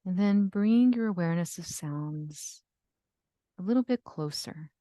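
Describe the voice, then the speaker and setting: Low-voiced woman guiding meditation. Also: animated, no echo, clean studio sound. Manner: soothing, gentle instruction with long pauses. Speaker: yoga nidra guide. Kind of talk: guided meditation.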